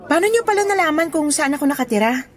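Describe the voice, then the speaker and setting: A reserved, well-off younger sister talks with polite awkwardness. Her Filipino-accented voice runs slightly high in restrained audio drama style, with faint music underneath. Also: animated, quick pace. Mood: awkward, reserved. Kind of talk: restrained radio drama dialogue.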